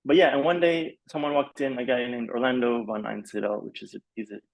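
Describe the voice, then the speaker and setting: Male podcast guest, fry-heavy voice, loose and halting conversational delivery, thinking aloud as he tells his story. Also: mid-range voice, steady tone, low-quality recording. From Malaysia. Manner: rambling, hesitant, peppered with "like" and "you know". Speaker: podcast guest, film composer. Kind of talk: casual interview storytelling.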